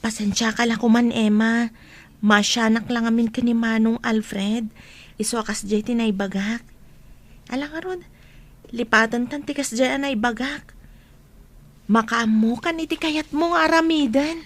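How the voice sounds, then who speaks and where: A woman acting a concerned young student who gently questions her friend in an Ilocano radio drama. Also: on the high side, unhurried, pauses often, natural voice. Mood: coaxing, apologetic.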